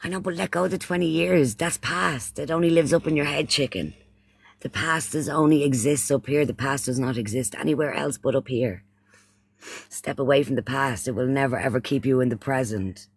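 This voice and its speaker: A man's voice: medium pitch, brisk, gravelly, slightly rough, no echo. Irish man chatting casually, dry close sound. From Ireland. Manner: repeats phrases, uses pet names. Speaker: livestream host. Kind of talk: casual livestream chat, greeting viewers.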